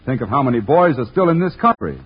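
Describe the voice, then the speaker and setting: Friendly druggist pitching on 1940s radio. Explanatory, rough husky voice, muffled vintage sound. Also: male voice, very animated, fast talker. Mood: earnest, reassuring. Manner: earnest, explanatory. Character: friendly, earnest drugstore druggist, patient sales pitch. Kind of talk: 1940s radio comedy and advertising. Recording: dull 1940s radio broadcast.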